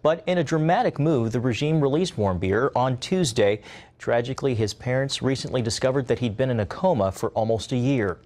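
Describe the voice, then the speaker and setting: A man anchoring a television news broadcast, emphatic and crisp. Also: mid-range voice, a little fast, darkish tone.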